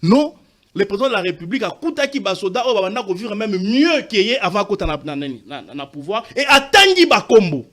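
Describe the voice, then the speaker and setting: A man's voice: sits high, very animated, a little fast, sharp tone. A man from Katanga arguing insistently in a heated TV debate, talking over the others and mixing French with Lingala. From Katanga, DR Congo. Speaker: debate panelist.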